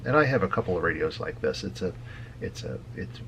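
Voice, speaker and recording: A man giving a casual tutorial as a hobby video host, talking haltingly with frequent hesitant pauses. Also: deep voice, animated, creaky, fairly bright tone, slight background noise. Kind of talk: casual screen-share tutorial.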